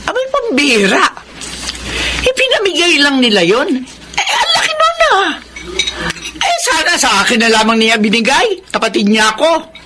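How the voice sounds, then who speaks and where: A woman's voice: high voice, sing-song, normal speed, very noisy. A woman playing a resentful, covetous middle-aged sister and aunt in a Filipino radio drama, nagging with emphatic exclamations. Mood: indignant, reproachful.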